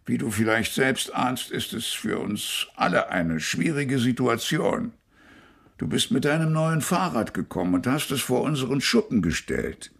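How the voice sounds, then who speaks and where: A man acting an older, stern father in a surreal radio play, with a gravelly, husky voice. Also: mid-range voice, a bit bright, heavily acted. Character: stern, aloof older father of a grown son. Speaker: actor.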